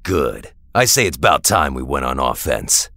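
A belligerent superhero brawler from an audio drama. The voice is rock-bottom low and husky, a gruff growl recorded dry and close. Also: male voice, sing-song, normal speed, bright, forceful tone, no echo, full character voice. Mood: grim, aggressive. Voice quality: gravelly growl. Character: gruff, aggressive male mutant brawler ready to kill.

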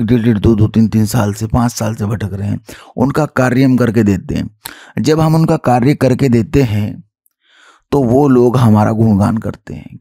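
Deep, gravelly man, husky guru. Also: mellow, no echo, studio quality. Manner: emphatic, repetitive, rhetorical questions. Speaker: tantric guru. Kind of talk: spoken introduction and explanation to followers.

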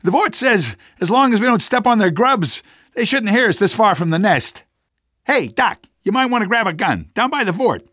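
A man playing an excitable, blunt, wisecracking resistance fighter in a video game. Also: high-pitched, moderate pace, dry recording, studio quality, exaggerated voice. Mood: uneasy, urgent. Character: jumpy, wisecracking male resistance fighter defending a tunnel. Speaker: video game minor character.

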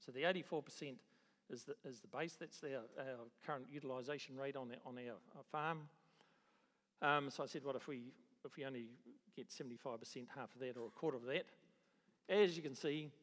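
Middle-aged New Zealand man, mid-pitched, presenting in a reverberant hall, stop-start and wry. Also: a bit bright. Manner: halting, many restarts and fillers, dry understatement. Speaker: agricultural economist presenting at a conference. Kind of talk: conference presentation. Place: large conference hall. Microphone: handheld microphone.

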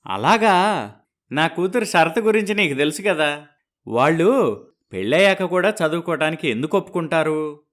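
Casting: man for worried bride's father, middle-aged, hesitant, lively intonation, moral-story dialogue. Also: on the high side, normal speed, long pauses. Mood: anxious.

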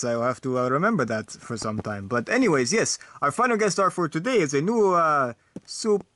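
A man voicing a vampire talk show host who is fussy, excitable and hesitant, in a comedy stop-motion show. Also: on the high side, no room sound, voice pushed up.

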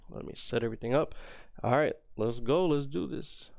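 Male reaction host, young adult, chatty and informal, medium pitch, at home. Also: normal speed, creaky, a little mellow. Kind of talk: casual reaction commentary. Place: small room at home.

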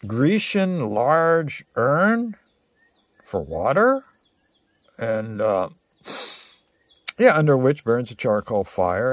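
Older man storytelling slowly, higher-pitched. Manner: theatrical, digressive, halting with frequent pauses. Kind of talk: rambling improvised storytelling to camera. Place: outdoors under cover among greenery. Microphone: phone at arm's length.

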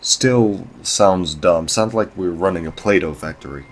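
Male actor as a stern drug dealer, audio drama; deep, dry, dismissive. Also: unhurried, crowd noise. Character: Buck, gruff no-nonsense male drug dealer.

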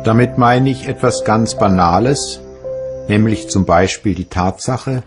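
An actor playing a reflective German physicist reading his memoirs in a husky, measured, philosophical way. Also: on the low side, music in the background, close to an everyday voice. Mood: calm, reflective.

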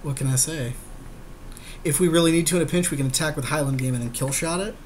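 A man's voice: fairly high pitch, fast talker. A man chatting casually as a gameplay podcast co-host, agreeable and joking, with some swearing. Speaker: podcast co-host commenting on card game. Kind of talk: casual chat, gameplay commentary. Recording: clear background noise.

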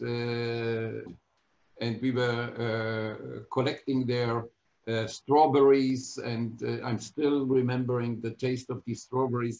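A man in his seventies recalling his childhood in an interview, halting and full of fillers, over a video call from a room with bookshelves. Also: medium pitch. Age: 70s. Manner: halting, many fillers and restarts. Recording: echoey, dull video-call audio.

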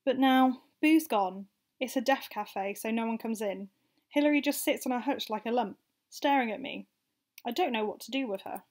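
A woman's voice: fairly high pitch, moderate pace, a little mellow. A young woman acting out a wry, frank, confessional monologue as narrator of a radio play. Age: young adult.

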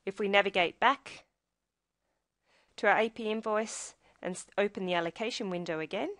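A woman reading a scripted software tutorial, explaining each step in a measured, instructional way. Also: mid-range voice, no echo, studio quality.

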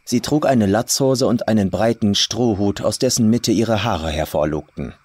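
A man's voice: fairly low pitch, normal speed, darkish tone, slightly rough. A man reading a scripted horror story as a dramatic audiobook narrator. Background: faint atmospheric music.

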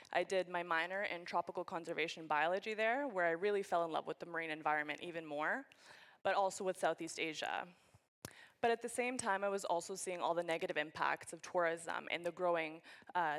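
A woman's voice: fairly low pitch, fairly bright tone. A young woman from Canada giving a keynote talk on a conference stage, speaking into a handheld microphone in a large hall.

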